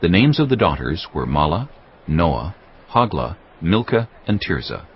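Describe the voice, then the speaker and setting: Very deep male scripture narrator. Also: sing-song, unhurried, slightly rough. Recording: slightly muffled, music underneath. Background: background music.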